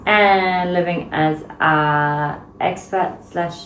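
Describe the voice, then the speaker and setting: A woman's voice: on the low side, fairly even intonation, drawn-out words, fairly bright tone. A young Irish woman co-hosting a podcast, chatting casually and laughing often. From Ireland. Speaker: podcast co-host. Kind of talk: casual chat. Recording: roomy with faint background hiss.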